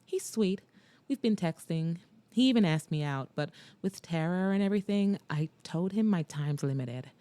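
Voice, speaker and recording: A woman's voice: lowish voice, expressive, moderate pace, dark tone, dry recording, natural voice. A woman playing a sassy, teasing best friend. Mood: bashful. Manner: playful, teasing.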